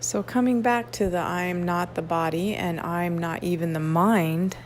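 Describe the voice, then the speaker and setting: A woman guiding a meditation, reading instructions in an unhurried way with long pauses. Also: on the low side. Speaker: meditation guide at a wellness retreat. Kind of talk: guided meditation, read aloud softly. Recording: clearly noisy ambient background.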